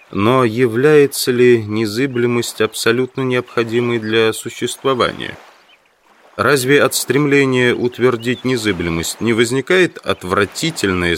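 An actor playing an obsessive insect collector, musing reflectively to himself in a dramatised inner monologue. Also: male voice, medium pitch, a bit bright, a little hiss or hum. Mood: brooding, philosophical. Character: brooding, obsessive male insect collector lost in thought.

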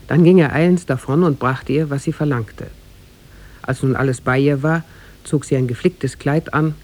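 A man narrating a tale as a formal, measured storyteller in 1950s German radio style. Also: medium pitch, gravelly, darkish tone.

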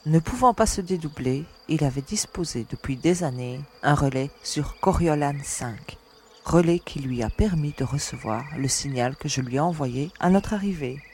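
A woman's voice: low voice, animated, moderate pace, darkish tone, a little hiss or hum. A woman playing a composed young alien agent who leads castaways and explains things with assurance in an audio drama. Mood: assured, explanatory.

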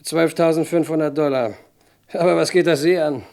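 An arrogant, slippery businessman in a German radio crime play, his voice on the higher side. He is dismissive and self-assured, dodging as he talks. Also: fairly even intonation, moderate pace, gravelly, a little mellow. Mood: evasive, irritated. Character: arrogant, slippery male businessman swindler under arrest.

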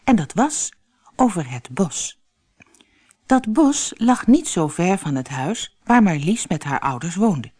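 A woman reading a children's fairy tale as scripted narration, with expressive, clearly phrased storytelling. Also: fairly low pitch, slow pace, no room sound, clean studio sound.

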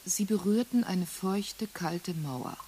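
An actress playing a careful questioner in a radio play, prompting in a measured, suggestive way. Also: female voice, lowish voice, mellow. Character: careful female questioner guiding a man's recollection. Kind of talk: radio play acting, dialogue. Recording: hissy early 1980s radio play recording.

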